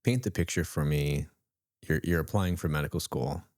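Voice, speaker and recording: A man's voice: rumbling low, moderate pace, darkish tone, no room sound, studio quality. A man hosting an interview and asking short, prompting questions. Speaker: podcast host.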